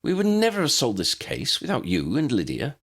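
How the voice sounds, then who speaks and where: A male narrator puts on an earnest grown witch in a storybook. The voice is lifted above his usual low one, emphatic and very animated. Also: fairly quick, husky, full character voice. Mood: warm, grateful. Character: earnest, appreciative adult witch.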